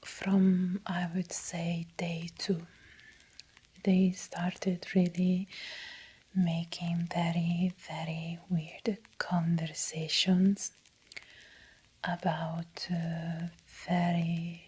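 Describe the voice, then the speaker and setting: A woman with an Italian accent playing an uneasy doctor confessing in a breathy, halting ASMR roleplay whisper. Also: lowish voice, little pitch movement, darkish tone, exaggerated voice. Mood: hesitant, defensive. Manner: slow, halting, hesitant. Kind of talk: soft-spoken ASMR roleplay.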